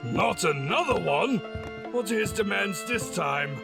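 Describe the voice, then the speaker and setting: Man as a creaky, high-voiced imperious stallion manager. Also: animated, measured pace, music in the background, exaggerated voice. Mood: exasperated. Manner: formal, imperious. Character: smooth-talking, stern male pony opera manager. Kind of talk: audio drama acting.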